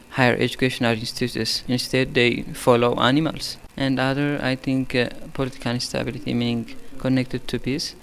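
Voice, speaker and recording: A young man with an Ethiopian accent, giving a halting interview statement as a youth delegate. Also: medium pitch. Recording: background noise and ambience.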